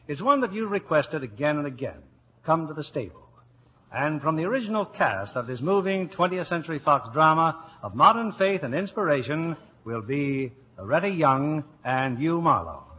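Bright-voiced vintage radio announcer man. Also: fairly high pitch, very animated, normal speed, husky. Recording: dull old radio broadcast.

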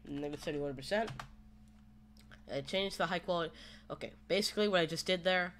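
A man hosting a casual software tutorial, talking in a hesitant, rambling way. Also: a little high, a little fast. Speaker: tutorial host. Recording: home microphone with background noise.

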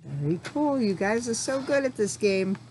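A middle-aged woman leading a lesson for young children, asking simple questions, pausing for answers and encouraging them. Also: medium pitch, very animated, background noise. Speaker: teacher.

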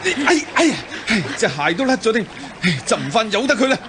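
An actor in a radio drama playing an earnest young Greek hero who leads an expedition, speaking in a declamatory way. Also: male voice, sits high, very animated, brisk, very noisy. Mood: straining, patient. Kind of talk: Cantonese radio drama acting.

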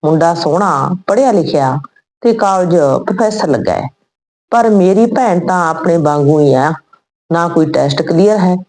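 Lady storyteller doing a worried young woman, mellow voice, troubled n confiding, story narration. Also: lowish voice, lively intonation, normal speed, rough recording. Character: worried young woman confiding regret about her sister's match.

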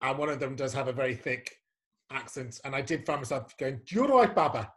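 A man's voice: mid-range voice, lively intonation, a little fast. A man hosting a casual interview over a video call from a home room, camp, playful and effusive. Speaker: host.

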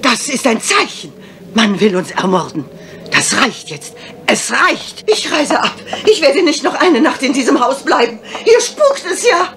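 A woman's voice: high-pitched, sing-song, measured pace, exaggerated voice. A woman playing a nervous, superstitious guest with anxious, dramatic delivery in a German radio crime drama. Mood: frightened, indignant. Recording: slightly noisy old radio play recording.

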